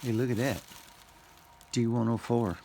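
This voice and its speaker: A man giving casual, unscripted unboxing commentary as a vlogger, speaking in short clipped remarks with pauses. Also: mid-range voice, warm tone, a little hiss or hum.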